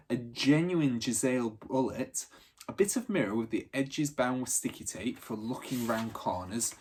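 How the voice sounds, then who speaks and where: Man, husky voice, middling pitch, children's storybook narration, animated delivery. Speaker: teacher reading a children's book aloud.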